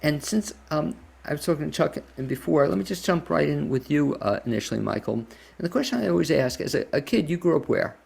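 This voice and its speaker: A man's voice: fairly high pitch, expressive, fast, gravelly, few pauses, darkish tone, breathy edge. A man hosting a podcast, asking questions in a casual, conversational interview style.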